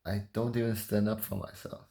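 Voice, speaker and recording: A young man with a low, gravelly voice and a mellow, muted tone talks softly about himself. He is calm and hesitant, leaving long gaps as he thinks aloud in a confessional vlog. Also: a little fast. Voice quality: soft voice. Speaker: vlogger.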